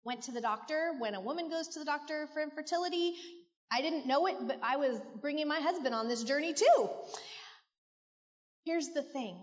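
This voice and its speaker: A woman's voice: high-pitched, normal speed. A middle-aged American woman from California giving a candid, heartfelt personal testimony to a church audience. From California, United States. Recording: muffled, echoey large hall.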